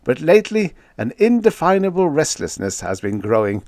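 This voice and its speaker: A man's voice: fairly high pitch, very animated, normal speed, darkish tone, no echo, pitched above the performer's own voice. A man voicing a genial, avuncular elder president in an audiobook, with an emphatic, hearty manner. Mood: earnest, upbeat. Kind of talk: audiobook character acting.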